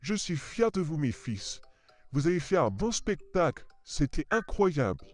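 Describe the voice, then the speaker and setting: Man as a lively, higher-voiced proud dad. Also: unhurried, faint music behind. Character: proud, easily amazed middle-aged father of twins. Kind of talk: French fan comic dub acting.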